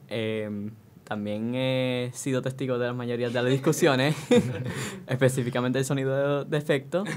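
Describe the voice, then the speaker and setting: A young man answering casually in an interview, with halting pauses mid-sentence. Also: mid-range voice, clean tone. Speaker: student voice actor, interview guest.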